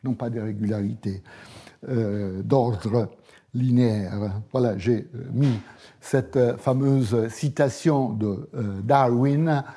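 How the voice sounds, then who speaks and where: A male professor whose first language is Italian, giving a university lecture at a measured pace with frequent hesitations and fillers. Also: mid-range voice, warm tone, breathy edge. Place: lecture hall.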